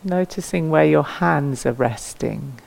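A woman teaches meditation in a gentle, unhurried way. Her phrases come slowly with long pauses between them, and the voice often settles into a soft creak. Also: deep voice. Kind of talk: guided meditation.

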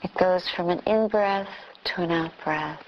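Slow-spoken woman guiding meditation. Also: fairly low pitch, muffled recording, slight background noise. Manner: slow, speaks in short phrases with long pauses. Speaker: Buddhist nun and meditation teacher. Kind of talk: guided meditation.

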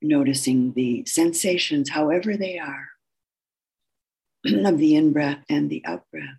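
Slow, husky woman guiding meditation. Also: low-pitched. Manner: gentle, unhurried, with long pauses. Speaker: meditation teacher. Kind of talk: guided meditation instruction.

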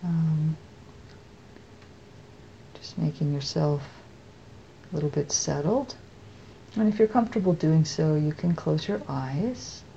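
American woman, guided meditation, low-pitched, soft delivery, long pauses, muffled recording with faint rumbling noise. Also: vocal fry. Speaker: meditation teacher.

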